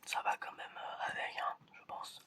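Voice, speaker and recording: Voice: moderate pace, pushed and bright. An ASMR performer whispering a roleplay exam in a hesitant murmur, often repeating short phrases. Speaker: ASMR performer playing an examiner.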